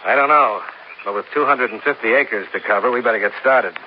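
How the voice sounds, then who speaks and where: An actor playing a hard-boiled insurance investigator who narrates a 1950s radio drama in a dry, clipped way. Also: male voice, medium pitch, fairly quick, lightly acted. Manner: dry, clipped, matter-of-fact. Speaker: narrator and lead. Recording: hissy, dull old radio broadcast.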